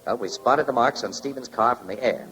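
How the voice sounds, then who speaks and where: An actor playing an easygoing, clever newspaper photographer sleuth, genially explaining, 1940s radio style. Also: male voice, mid-range voice, fairly quick, fairly bright tone, natural voice, poor audio.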